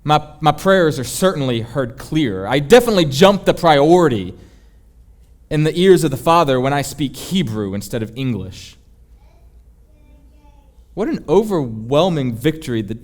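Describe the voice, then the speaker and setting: A man's voice: medium pitch, very animated, normal speed, a little mellow. A man preaching a sermon in an emphatic, rhetorical and confessional manner. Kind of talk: sermon, teaching.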